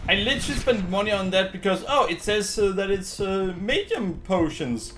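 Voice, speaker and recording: A man doing casual let's-play commentary as a gaming streamer, rambling and thinking out loud. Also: sits high, lively intonation, normal speed. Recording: game music behind the voice. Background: video game music.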